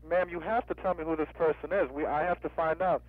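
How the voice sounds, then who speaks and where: A man's voice: fairly high pitch, steady tone, fast. A man working as a rental agent on a customer service phone call, insistent and questioning, often repeating himself. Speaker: car rental office agent. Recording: tinny, narrow-band phone line.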